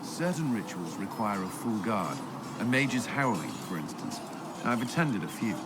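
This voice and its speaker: A fairly deep male voice over loud music. an earnest former templar turned commander in a fantasy game. He is formal, reserved and measured in how he speaks. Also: sing-song. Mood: measured, instructive. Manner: formal, measured, awkwardly hesitant when flustered. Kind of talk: video game character dialogue.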